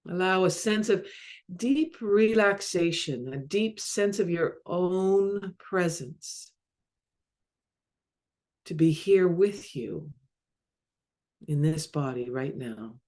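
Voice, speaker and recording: A woman leading a guided meditation as a mindfulness coach, speaking calmly and measuredly in short phrases with long pauses. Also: fairly low pitch. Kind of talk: guided body scan meditation.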